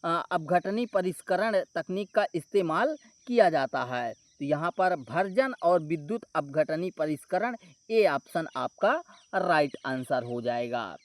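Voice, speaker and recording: A man's voice: fairly high pitch, lively intonation, a little slow, no room sound. A man explaining exam questions and answers as a coaching teacher, restating points and checking in with listeners. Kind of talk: explanatory exam solution walkthrough.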